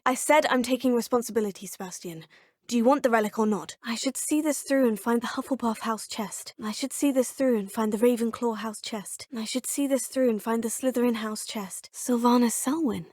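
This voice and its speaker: Young woman, game hero. Earnest, determined, slightly high, husky tone, dry close studio sound. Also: brisk, darkish tone, no echo. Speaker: player character voice clips. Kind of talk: game voice acting. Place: recording studio.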